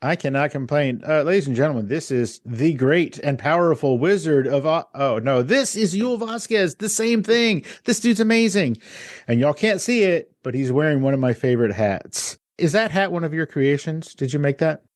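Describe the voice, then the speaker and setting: A man hosting a podcast, gushing with enthusiasm and quick asides. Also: fairly high pitch, expressive, dry recording, clean studio sound. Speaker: podcast host. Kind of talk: casual podcast interview.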